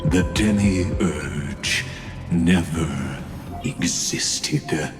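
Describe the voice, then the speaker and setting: A man's voice: extremely low pitch, very slow, faint music behind, full character voice. A man voicing a cold, grandiose machine overlord in a game, speaking with pompous, menacing formality. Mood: cold, condescending. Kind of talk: dramatic scripted game acting.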